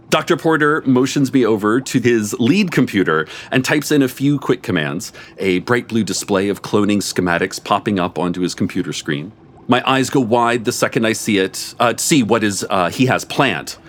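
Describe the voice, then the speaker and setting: A man reading a comic story aloud before a live audience, with expressive, animated storytelling and a deadpan edge. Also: lowish voice, moderate pace. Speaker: story reader.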